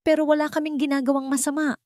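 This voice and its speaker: A woman's voice: sits high, level tone, quick pace, mellow, no room sound, studio quality, voice pushed up, lightly acted. A Filipino-accented woman voicing a distressed poor mother who protests her innocence in a dramatic story. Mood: shocked, pleading.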